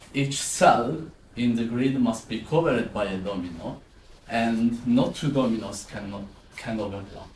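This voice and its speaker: A man in his twenties from southern Italy, with an Italian accent, presenting as a maths teacher explaining to a class. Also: medium pitch. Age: 20s. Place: indoor classroom with whiteboard and screen. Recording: roomy echo, distant, some background noise.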